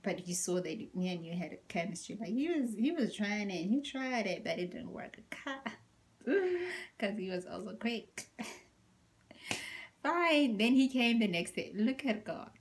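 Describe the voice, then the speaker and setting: A young woman telling a story in a casual, animated way, acting out quotes and dropping into whispered asides, her voice deep and tired after a workout. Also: unhurried. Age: young adult. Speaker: vlogger.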